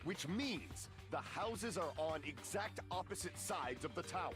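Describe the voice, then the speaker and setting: An actor playing a grandiose, haughty young man in a video game, theatrical and pompous, like a self-styled dark lord. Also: on the high side, animated, unhurried. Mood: haughty, challenging. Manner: pompous, dramatic, archaic phrasing. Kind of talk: English video game voice acting. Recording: game audio under music.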